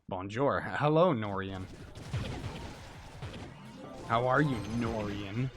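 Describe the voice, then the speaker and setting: A young man talks casually to his stream viewers as he plays, his mid-range voice hoarse and fraying from illness. He rambles in a relaxed, excitable way, full of "like" and "dude." Faint game music plays underneath. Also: mid-range voice. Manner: chatty, excitable, laid-back, heavy use of "like" and "dude". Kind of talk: casual livestream chat while gaming.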